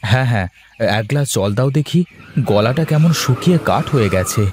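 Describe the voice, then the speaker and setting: A man's voice: mid-range voice, lively intonation, fairly quick, noisy background, close to an everyday voice. A man voicing a weary, worried but proud working husband in a cartoon, with a homely, complaining delivery. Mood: weary, grumbling. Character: weary, worried married office worker, proud and plain-spoken. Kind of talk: Bengali cartoon voice acting.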